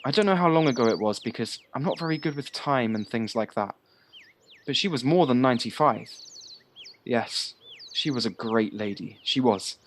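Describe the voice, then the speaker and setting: An actor playing a chatty, guileless young village lad in an audio play, talking in a candid, rambling way. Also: male voice, mid-range voice, expressive, normal speed, slight background noise, natural voice. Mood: chatty, earnest.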